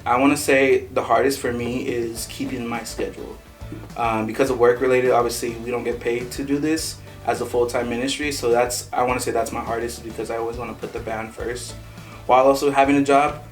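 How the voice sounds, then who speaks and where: A man's voice: mid-range voice, level tone, brisk. A man in his twenties, a band member, chatting in a rambling way with lots of fillers. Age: 20s. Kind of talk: casual chat. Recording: distant mic with background music.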